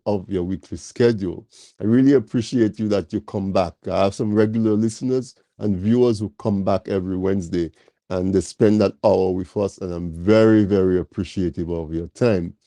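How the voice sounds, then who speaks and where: A man hosting a live talk program, slightly nasal from a cold, speaking slowly with many fillers as he welcomes his audience. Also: lowish voice, no room sound. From Caribbean. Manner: slow, warm welcoming address with many fillers. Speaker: talk show host.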